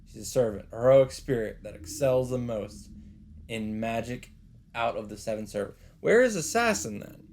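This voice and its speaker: A man's voice: mid-range voice, lively intonation, moderate pace, darkish tone. Man dramatically reading a game aloud. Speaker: let's player. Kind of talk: reading a visual novel aloud, with casual commentary. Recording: faint noise, game ambience behind.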